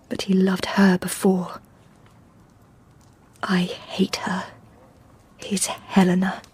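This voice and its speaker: An actress playing a determined, devoted young wife in a period radio drama, speaking formally and earnestly with a British accent. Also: female voice, medium pitch, a little mellow, husky. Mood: anguished. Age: young adult.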